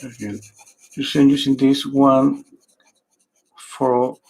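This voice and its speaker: Gravelly man thinking aloud while painting. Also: medium pitch, flat delivery, a little mellow, poor audio. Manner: thinking aloud, halting, repeats words. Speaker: art instructor. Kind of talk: talking while painting a pastel portrait.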